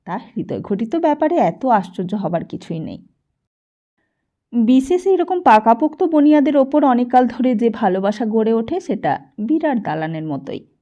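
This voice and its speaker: Mellow, higher-pitched woman narrating a story. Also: big pitch swings, normal speed, lo-fi sound. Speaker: audiobook narrator. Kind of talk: scripted literary story narration.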